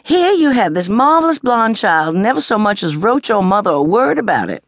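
A sharp-tongued mother scolds her daughters in a raised, lively voice, as a woman reader puts her on. The sound is dry and close. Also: medium pitch, moderate pace, no echo, pitched above the performer's own voice. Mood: scolding.

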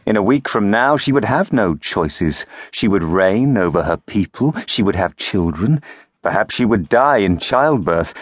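A man narrates a fairy tale in a very deep voice. His delivery is lively and full of rise and fall. The studio sound is dry and close. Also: husky, no echo. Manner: expressive storytelling. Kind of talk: audiobook narration.

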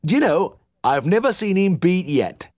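A man's voice: high-pitched, big pitch swings, moderate pace, darkish tone, no room sound, studio quality, pitched above the performer's own voice. A man voicing a smug little old male farmer with a nasal West Riding Yorkshire twang, forever praising another vet. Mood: needling boastful.

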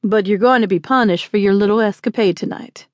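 A woman's voice: mid-range voice, a little fast, close to an everyday voice. A stern frontier marshal as voiced by a woman narrator in an audiobook western. He gives orders firmly and with irritation, used to being obeyed. The sound is dry, without room echo. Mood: firm, controlled. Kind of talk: acted audiobook dialogue.

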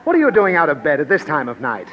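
Harried, lecturing dad, lively fairly high voice. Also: male voice, fast. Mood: exasperated. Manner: blustering, lecturing, easily flustered. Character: exasperated middle-aged father. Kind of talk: 1940s radio comedy acting. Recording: dull old radio broadcast, faint hiss.

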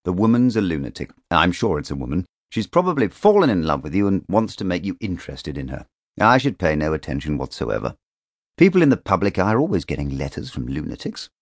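A man voicing a confident friend in a storybook, breezily dismissive and reassuring, brisk, emphatic and matter-of-fact. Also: deep voice, no room sound, studio quality, exaggerated voice.

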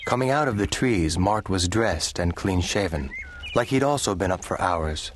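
A man's voice: low voice, animated, moderate pace, a little hiss or hum. An actor playing an easygoing young cowboy drifter, telling his story in a reflective, folksy way for a western audio drama. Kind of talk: first-person western audio drama narration.

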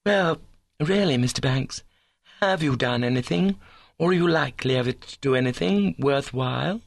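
A man's voice: medium pitch, normal speed, gravelly, no room sound, studio quality, voice pushed up. A man voicing an ambitious, haughty young woman with an earnest, prim, scornful manner in a comic story reading. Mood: disdainful.

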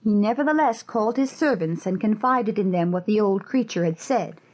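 A woman's voice: medium pitch, lively intonation, moderate pace, a little mellow, poor audio. A woman narrating a dramatized fairy-tale audiobook in a scripted storytelling style.

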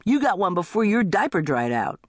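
Woman putting on an indignant high-pitched teenage boy. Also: big pitch swings, brisk, no echo, clean studio sound. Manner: whiny, accusing, exaggerated complaining. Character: indignant teenage boy, aggrieved older brother.

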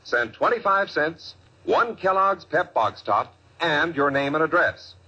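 Animated, punchy male radio pitchman. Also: measured pace, husky. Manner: emphatic, addresses young listeners. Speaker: radio commercial announcer. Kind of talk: 1950s children's radio advertisement, enthusiastic pitch. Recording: dull vintage radio recording.

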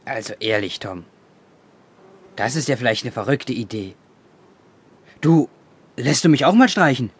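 A man's voice: mid-range voice, expressive, a little slow, long pauses, breathy edge. A male performer playing an eager, teasing schoolboy in a German children's audio drama. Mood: eager, pleading. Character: eager, teasing schoolboy, easily talked round.

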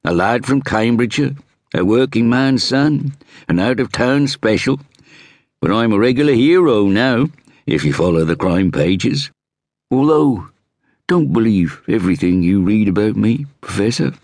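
A man voicing a brash, self-assured Scotland Yard inspector with an East Anglian drawl and drawn-out vowels, in a swaggering, teasing manner for an audiobook. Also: on the low side, lively intonation, darkish tone, no room sound, clean studio sound, pitched above the performer's own voice. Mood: boastful. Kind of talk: audiobook character voice.

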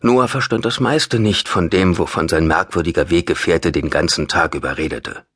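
A man's voice: low voice, moderate pace, fairly bright tone, dry recording, studio quality. A man with a standard German accent reading a thriller audiobook as dramatic scripted narration.

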